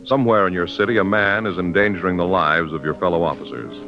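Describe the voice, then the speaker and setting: A man announcing in a dramatic, measured 1950s radio style. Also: deep voice. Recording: dull old radio recording with music.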